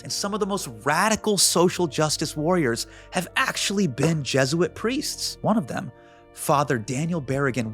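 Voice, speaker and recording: A man in his forties hosting a show, moving between comedic monologue and interview with a quick, playful, punchline-driven delivery. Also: a little high, a bit bright. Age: 40s. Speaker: host.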